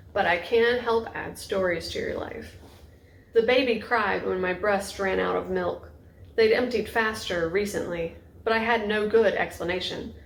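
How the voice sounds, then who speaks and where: A woman author giving a dramatic reading of a narrative story in a creaky voice. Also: mid-range voice. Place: indoors in a bookshop. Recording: echoey room, faint noise.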